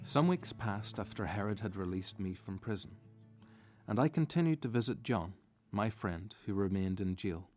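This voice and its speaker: A man's voice: on the low side, animated, moderate pace, darkish tone, soft background music, lightly acted. An actor playing a reflective disciple who narrates his story in first person for a radio play.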